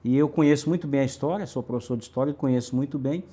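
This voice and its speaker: A man's voice: medium pitch, rapid-fire, dark tone, breathy edge. A middle-aged man from Brazil's Northeast, a city councillor answering interview questions emphatically with lists and repetition.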